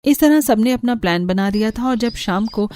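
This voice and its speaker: Mellow-voiced woman narrating a story. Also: on the high side, lively intonation, normal speed.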